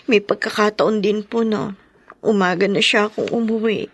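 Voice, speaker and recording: A woman's voice: medium pitch, steady tone, normal speed, no echo, muffled recording, natural voice. A woman playing a young wife in a radio drama, tearful and pleading. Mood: worried.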